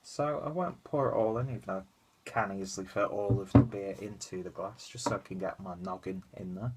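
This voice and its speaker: A man's voice: low-pitched, brisk, heavy background noise. A young man with a stuffy, congested nose and a nasal voice, chatting casually through a beer review at home on a webcam. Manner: rambling, chatty, lots of fillers and asides. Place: small room at home, indoors.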